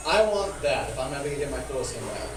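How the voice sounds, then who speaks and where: A man doing rambling, profane, self-deprecating stand-up, with a speech impediment that softens his R sounds. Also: mid-range voice, lively intonation, very fast. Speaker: stand-up comedian. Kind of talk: stand-up comedy at an open mic. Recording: echoey, noisy room, far from mic.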